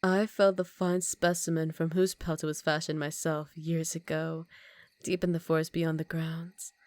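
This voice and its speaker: Low, teasing vampire countess acted by a woman. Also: little pitch movement, darkish tone, dry recording, natural voice. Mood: haughty, teasing. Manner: slow, teasing, condescending, with breathy half-whispers. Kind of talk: ASMR roleplay.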